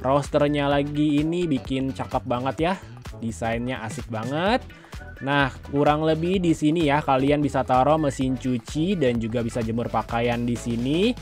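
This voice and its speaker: A man's voice: medium pitch, moderate pace. A man, giving a casual, chatty house-tour narration and addressing viewers directly. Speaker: property tour host. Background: background music.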